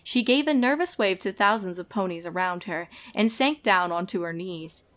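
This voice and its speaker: A woman's voice: mid-range voice, moderate pace, a bit bright. A woman reading a fan fiction story aloud as narrator, in a scripted storytelling style.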